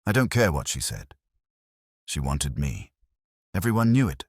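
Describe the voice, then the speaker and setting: Male narrator playing haughty leading man with a temper, sneering delivery, very low pitch, audiobook detective fiction. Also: sing-song, unhurried, slightly rough, dry recording, studio quality, exaggerated voice. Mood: scornful. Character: vain, sneering male stage actor with a temper.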